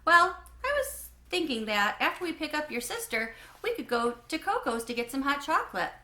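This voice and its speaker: Woman voicing an upbeat, bright-toned storybook dad. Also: sits high, very animated, moderate pace, reverberant, voice pushed up, full character voice. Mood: cheery. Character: upbeat, well-meaning dad chatting with his kids.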